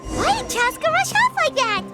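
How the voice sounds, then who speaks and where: A woman voicing a small, childlike, chatty fairy companion in a video game, exclaiming in an excitable way. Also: extremely high pitch, normal speed, full character voice. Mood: puzzled. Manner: refers to self in third person, exclamatory. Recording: loud music under the voice.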